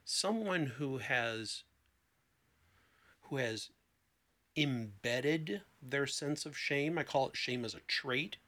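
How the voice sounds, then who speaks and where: A man's voice: medium pitch, slow, long pauses, pushed and bright. A man speaking as a therapist guest in an interview, explaining in a conversational way with examples. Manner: explanatory, illustrating with anecdotes and quoted dialogue.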